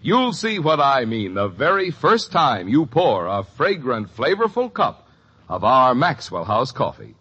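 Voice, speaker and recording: A muffled vintage radio recording of an American male announcer. His rough, husky voice swoops dramatically as he delivers a persuasive, emphatic sales pitch. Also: sing-song, measured pace, pushed and bright. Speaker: announcer and commercial spokesman. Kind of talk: 1950s radio advertising pitch.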